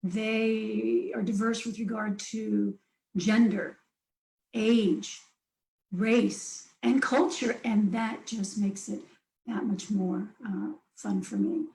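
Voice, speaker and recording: A woman's voice: medium pitch, fairly even intonation, slow pace, creaky, mellow, a little hiss or hum. A middle-aged woman, a life coach, talking about herself and her beliefs in an upbeat, emphatic way, often in short listed phrases. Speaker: life coach, interview guest. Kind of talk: casual interview talk. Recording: echoey room.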